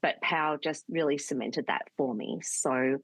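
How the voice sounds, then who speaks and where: This is an Australian woman in her forties with a notably low voice, speaking as a guest on an interview show. She talks in an eager, earnest way with easy fillers, and the sound is close and dry with no room around it. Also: normal speed, breathy edge, dry recording. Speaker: guest, communications consultant. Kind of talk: casual interview chat.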